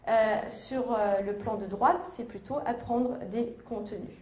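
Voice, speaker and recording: A woman giving an academic conference lecture, measured, with hesitations and self-corrections. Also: fairly high pitch, steady tone, darkish tone, lo-fi sound, a little hiss or hum. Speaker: university lecturer. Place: lecture hall. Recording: echoey lecture hall.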